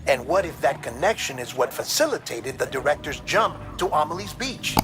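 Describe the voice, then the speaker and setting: An earnest, analytical investigator in a cinematic video game. His voice sits a little high for a man, and he speaks in a serious, measured way. Clear background music runs behind him. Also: sing-song. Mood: urgent, puzzled. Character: earnest male investigator reporting his suspicions to a colleague. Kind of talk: scripted dramatic game dialogue.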